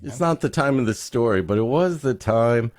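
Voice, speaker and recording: A man's voice: mid-range voice, expressive, moderate pace, a little mellow, no echo, studio quality. A man hosting a podcast, trading wry, joking, casual banter. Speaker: podcast host.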